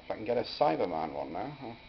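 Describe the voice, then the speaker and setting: A man's voice: on the low side, very animated, fast, vocal fry. A man giving a casual, hesitant, offhand demonstration of homemade electronics as a hobbyist. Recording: dull home video with faint noise.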